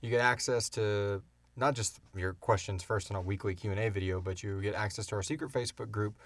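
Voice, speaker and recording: A man with a fairly low voice hosts a casual, unscripted Q and A. He talks informally, like someone among friends, and swears freely. The sound is very dry, with no room echo. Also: fairly even intonation, brisk. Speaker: podcast host and producer.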